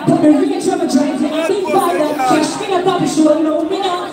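Jamaican girl chanting dancehall at a boat party, real high voice, far from the mic, crowd going loud. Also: steady tone, a little fast. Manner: brash, boastful delivery in patois. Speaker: dancehall performer. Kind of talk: live dancehall singing and chanting. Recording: distant, echoey live party recording. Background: loud dancehall music and a crowd.

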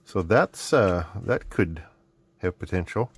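Low-pitched Canadian man, middle-aged. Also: lively intonation, takes it slowly. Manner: self-deprecating, rambling. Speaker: host of an electronics hobby video series. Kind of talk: casual unscripted workbench narration. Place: indoor hobby electronics workbench. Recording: clearly noisy background with clicks.

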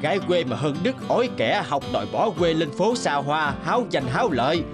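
Casting: gossipy old countryman, cartoon, slightly high pitch, lively swings, blunt and folksy, music underneath. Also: fairly quick. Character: gossipy, blunt elderly male villager. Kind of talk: dubbed cartoon acting.

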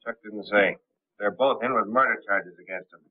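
Bossy gang chief, slangy and curt, thin phone-like old film sound. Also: male voice, on the low side, moderate pace, husky. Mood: commanding. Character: gruff commanding male gangster boss. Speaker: gang boss Brossett. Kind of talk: 1930s film acting.

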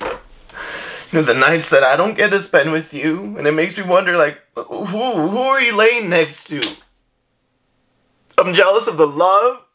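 A man performing a dramatic monologue as a jealous, heartbroken young lover, halting and tearful. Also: fairly high pitch, fairly bright tone, a little hiss or hum, pitched above the performer's own voice. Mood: anguished jealousy. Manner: halting, emotional, breaking into whispers and cries. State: crying. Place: small indoor room.

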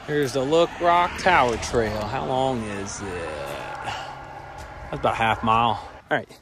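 A man's voice: medium pitch, takes it slowly. A young man talking casually and upbeat as he vlogs a road trip from his van and the outdoors, filmed on a phone held at arm's length. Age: young adult. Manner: laid-back, upbeat, says "freaking sick" a lot. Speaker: vlogger. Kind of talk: casual travel vlog. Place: in a van and outdoors on mountain roads. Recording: outdoor noise on a phone mic.

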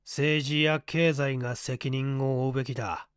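Male narrator as calm hard-boiled man; cool, unhurried, commanding; dry audiobook sound. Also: mid-range voice, clean tone, no room sound, close to an everyday voice. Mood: stern, unhurried. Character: calm, unhurried tough man coolly lecturing his beaten foe.

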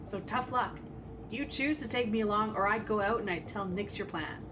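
A woman acting as a brash, sarcastic punk gunslinger, tough and fiercely loyal. She speaks at a middle pitch in an audio drama, a little away from the mic with clear noise behind. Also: normal speed, natural voice. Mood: fierce, exasperated. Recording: distant mic, noisy background.

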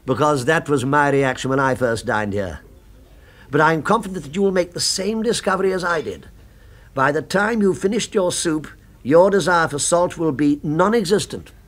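An actor playing a fervent, food-obsessed gentleman in a 1970s British radio drama, with precise, florid, emphatic speech. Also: on the high side, moderate pace. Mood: confident.

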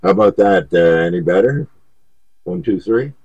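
Very deep, husky man on radio. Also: lively intonation, moderate pace, darkish tone, no echo, highs cut off. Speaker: CB radio operator. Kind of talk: casual radio contact chat.

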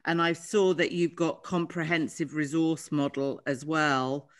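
A woman's voice: sits low, steady tone, unhurried. A middle-aged British woman hosting a casual interview over a video call from her living room, with an effusive, exclaiming manner. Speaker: interview host.

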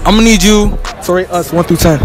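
A man's voice: high-pitched, fast talker. A teenage young man interviewing shoppers in a busy mall, teasing and persistent with his questions. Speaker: street interviewer. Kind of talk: casual street interview. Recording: loud music and crowd noise.

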